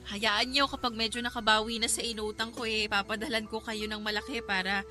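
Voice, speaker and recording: A woman's voice: a little high, little pitch movement, brisk, pushed and bright. A woman playing a dutiful young daughter in a radio drama, respectful and emotional, with a Filipino accent. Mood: reassuring. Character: dutiful young daughter working abroad, hiding hardship. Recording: music under the voice.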